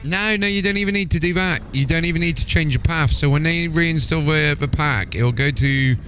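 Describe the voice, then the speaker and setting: A man's voice: a little high, fairly quick, fairly bright tone, soft background music. A man hosting a live gaming stream, talking casually as he plays and troubleshoots.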